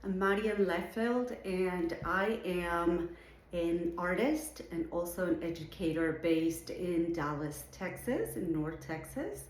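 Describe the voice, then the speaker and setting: A middle-aged woman from Venezuela with a Venezuelan Spanish accent, speaking to students as an art show juror in an unhurried way, with fillers and restarts. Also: on the low side. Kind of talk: recorded address to students. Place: art studio indoors, seated. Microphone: camera in front, medium framing. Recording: echoey room.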